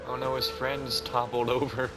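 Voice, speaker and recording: A woman's voice: very deep voice, flat delivery, normal speed, music in the background. A woman casually commenting on a game, joking and narrating what characters might say. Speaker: game streamer. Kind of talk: casual commentary over gameplay.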